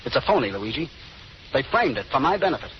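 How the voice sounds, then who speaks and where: A man's voice: medium pitch, big pitch swings, fast, close to an everyday voice. A man playing a tough gangster boss in an old radio drama, talking in hard slang. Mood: suspicious. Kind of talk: 1930s-40s radio drama acting. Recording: muffled, noisy old radio recording.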